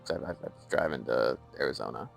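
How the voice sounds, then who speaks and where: A man's voice: rumbling low, fairly quick, creaky, faint music behind. A young American man chatting casually on a live stream while playing a game and reading its lore aloud. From United States.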